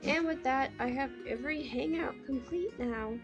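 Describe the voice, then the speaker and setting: A young woman chats casually through a live game stream. Her voice is fairly high, and loud game music plays behind her commentary. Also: normal speed, highs cut off.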